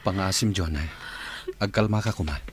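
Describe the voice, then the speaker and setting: An actor playing a young Filipino man who reasons calmly in a radio drama, his voice husky. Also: deep voice, normal speed. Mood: calming, firm. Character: calm, reasoning young man soothing an upset friend.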